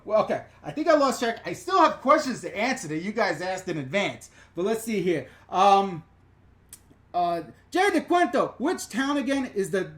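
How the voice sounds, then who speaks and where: A middle-aged man with a New York accent hosts a casual live question-and-answer stream. He talks in an animated, rambling way, swearing freely and wandering off on tangents before circling back to the chat. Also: sits high. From New York/New Jersey. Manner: animated, profane, rambling with digressions and self-corrections.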